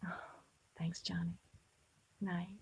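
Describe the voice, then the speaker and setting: A woman playing an anxious, soft-hearted young daughter in a 1950s radio drama, imploring in manner. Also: on the low side, little pitch movement, slow, creaky, husky, heavily acted. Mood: relieved. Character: pleading, anxious grown daughter, soft-hearted cat owner.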